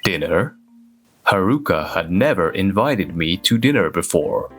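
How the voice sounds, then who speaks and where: A man playing a reserved, awkward office worker who narrates his own manga-dub story in a measured, deadpan way. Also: deep voice, soft background music. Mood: flat, dismissive. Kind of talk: scripted first-person narration in a manga dub.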